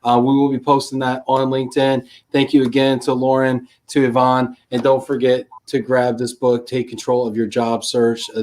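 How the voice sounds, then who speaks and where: A man's voice: medium pitch, a little mellow. A man hosting a live online panel, reading audience questions and laughing at his own slips over a video call. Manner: stumbling, self-deprecating, laughs at himself. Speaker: host.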